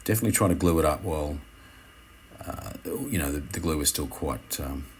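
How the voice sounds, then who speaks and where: Male instructor, extremely deep register, unscripted tutorial voice-over with hesitant phrasing, some noise behind the voice. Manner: hesitant, halting, self-correcting. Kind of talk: unscripted how-to voice-over commentary.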